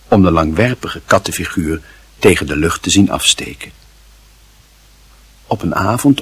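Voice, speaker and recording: A male narrator reads a literary story with drama. His voice is lowish and very husky, and it moves through a wide, animated range of pitch.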